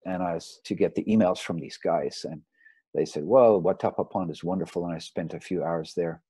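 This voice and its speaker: Man, low mellow voice, dry close sound, rambling easygoing lecture, video call. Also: a little fast, husky, no echo. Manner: rambling, relaxed, with fillers and restarts. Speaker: marine photographer giving a lecture. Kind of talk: casual slideshow talk. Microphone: video call mic.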